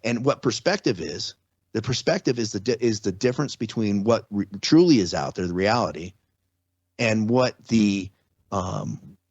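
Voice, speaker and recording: A man's voice: fairly low pitch, expressive, normal speed, a little mellow, husky, dry recording. Middle-aged guy on a podcast, earnest, explains stuff, restarts a lot, kinda muffled audio. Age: 40s or 50s. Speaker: podcast guest. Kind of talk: casual interview talk. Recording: slightly dull lo-fi audio.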